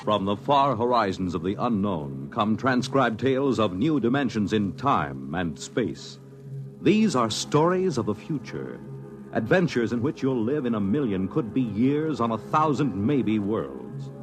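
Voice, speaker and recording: A 1950s male radio announcer with a formal, sonorous delivery. His pitch moves widely through each phrase, and music plays clearly behind him. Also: very animated, a little slow. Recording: vintage 1950s radio transcription.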